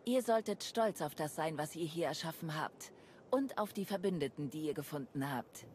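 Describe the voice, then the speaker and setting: An actress playing a composed, serious woman adviser in a video game, measured and grave in manner. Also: sits low, expressive, a little mellow, a little hiss or hum. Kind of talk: video game cutscene acting.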